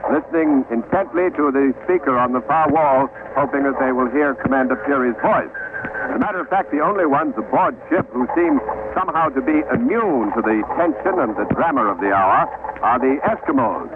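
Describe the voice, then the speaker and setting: A man's voice: medium pitch, expressive, brisk, background noise, lightly acted. Actor as earnest radio newsman, tinny vintage sound. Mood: earnest, steady. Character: earnest male radio newsman hosting from a polar ship's radio shack. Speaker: anchor reporter. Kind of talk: old-time radio docudrama news acting.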